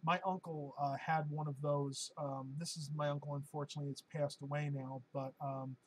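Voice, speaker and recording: A man with a hoarse voice that is wearing out, pitched a little higher than most men. He hosts a relaxed live-stream Q&A and rambles through his answers with frequent ums and uhs. A faint hiss sits behind him. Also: normal speed, low-quality recording. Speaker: live-stream host answering viewer questions.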